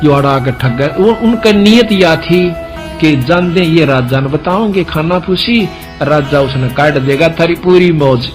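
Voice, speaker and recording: A man's voice: fairly high pitch, a little slow, over music. A man with a Haryanvi accent preaching through a folk story, telling it colloquially and voicing the dialogue as reported speech. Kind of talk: religious discourse told as a folk story.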